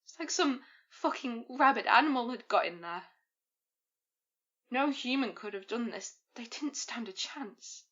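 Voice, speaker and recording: An actress playing an anguished, dutiful paramedic in an audio drama, speaking with urgent emotion. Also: female voice, on the high side, lively intonation, measured pace, a bit bright, muffled recording. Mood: shaken, grim. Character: anguished, dutiful woman paramedic taking charge in crisis.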